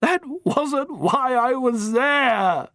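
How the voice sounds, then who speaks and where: A man's voice: very high voice, animated, drawn-out words, bright, forceful tone, no echo, clean studio sound, pitched above the performer's own voice, exaggerated voice. A reader voicing a flustered, stammering young man pleading desperately.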